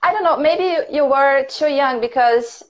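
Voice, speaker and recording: A woman from Brazil with a Brazilian Portuguese accent chats as a guest over a video call, her voice high and bright. She giggles often, and the call audio comes through dull and muffled through her earphones. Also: normal speed. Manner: giggly, friendly, often laughs. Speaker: English teacher guest. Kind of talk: casual video call chat.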